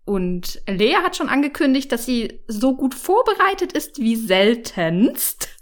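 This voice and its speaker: A woman's voice: on the high side, sing-song, a little slow. A woman hosting a book podcast in casual chat, playful and joking. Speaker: podcast host.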